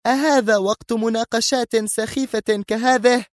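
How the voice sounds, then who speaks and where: Man doing a high, stern female spy commander. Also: steady tone, normal speed, dry recording, clean studio sound. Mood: tense, angry. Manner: sharp, commanding, strained. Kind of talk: audiobook character voice.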